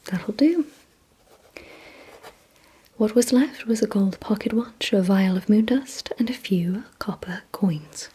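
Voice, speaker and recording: A woman doing an ASMR roleplay, mostly in a breathy whisper, giving gently commanding instructions in a deliberate manner. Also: mid-range voice, a little hiss or hum. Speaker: ASMR creator playing a vampire giving memory and attention tests.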